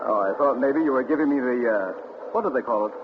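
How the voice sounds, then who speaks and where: A man's voice: medium pitch, quick pace, natural voice. An actor playing a genial, bookish male professor with a musing, hesitant manner in a 1940s radio drama. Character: genial, bookish male English professor, amateur crime buff. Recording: tinny, very noisy 1940s radio recording.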